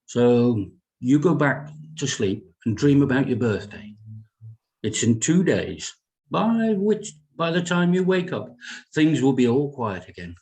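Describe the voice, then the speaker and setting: A gentle, thoughtful father acted by a man with a medium-pitched voice. He speaks as a soothing, reassuring doctor comforting a small child in a horror drama. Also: very animated, measured pace, low-quality recording. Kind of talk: dramatic script table read.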